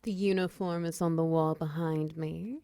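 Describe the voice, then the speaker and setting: A woman's voice: sits low, steady tone, normal speed, no echo. A woman playing a confident, flirtatious female minotaur wizard who runs a potion shop, speaking to the listener in intimate, teasing roleplay ASMR. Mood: businesslike, friendly. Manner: teasing, coy, softly breathy in places.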